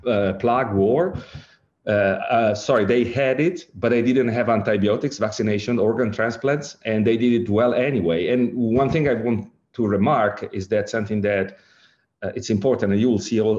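A middle-aged man from Italy with an Italian accent, giving an online lecture with slides, halting and full of fillers and rephrasings. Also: on the low side, a little mellow, muffled recording. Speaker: lecturer, director of an ageing innovation centre.

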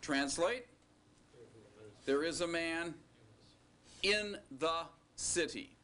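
Slow, bright-toned male teacher. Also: a little high, slight background noise. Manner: slow, deliberate, with joking asides. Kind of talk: recorded classroom lecture.

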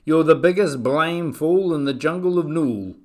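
A man's voice: on the high side, measured pace, voice pushed up, close to an everyday voice. A man voicing a scornful, sour female kangaroo from a storybook, scoffing and dismissive. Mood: mocking scorn.

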